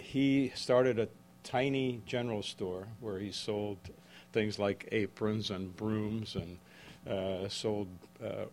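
An older man in his seventies, originally from the Czech Republic, speaks as an author to a live audience. His voice sits fairly low, and he talks haltingly, often restarting and correcting himself mid-sentence. A faint noise runs underneath. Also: a little mellow. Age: 70s. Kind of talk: book talk to a live audience. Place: event room with an audience.

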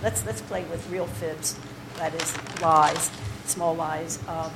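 A woman's voice: sits low, flat delivery, takes it slowly, vocal fry. A woman leading a poetry workshop, talking informally and digressively to the group, with many fillers and restarts. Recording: noisy room recording.

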